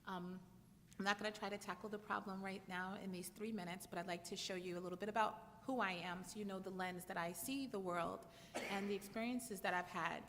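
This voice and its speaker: A woman lawyer addressing a conference panel in an earnest, measured way, pausing on small fillers. Her mid-range voice carries a long reverb from the hall, and a faint hiss of noise sits under it. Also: mid-range voice, steady tone, fairly bright tone. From United States.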